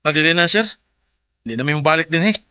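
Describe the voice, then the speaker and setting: A man's voice: a little high, lively intonation, fast, pushed and bright, clean tone, dry recording, lo-fi sound. An actor with a Filipino Bisaya accent playing a mild husband and father in his thirties in a radio drama. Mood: displeased. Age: 30s. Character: Mark, mild thirty-year-old husband and father, let down. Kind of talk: Philippine radio drama acting and letter narration.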